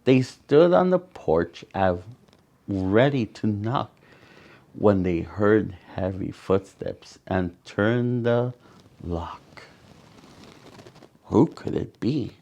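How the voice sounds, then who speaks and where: A man reading a picture book aloud to children as a storyteller, with dramatic pauses and asides to his young listeners. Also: lowish voice, animated, creaky, mellow, muffled recording.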